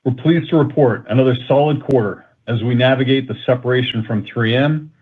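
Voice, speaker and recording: A tinny, phone-like line carries a man's mid-pitched voice with some rasp. He speaks as a finance executive reading prepared results to investors. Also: fairly quick. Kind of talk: prepared corporate earnings presentation. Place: earnings conference call.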